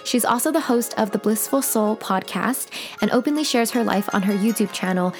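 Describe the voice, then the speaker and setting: A woman's voice: on the high side, lively intonation, brisk, faint music behind. A woman hosting a podcast, reading a guest introduction and then asking interested questions. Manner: warm and interested, lots of encouraging responses. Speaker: podcast host.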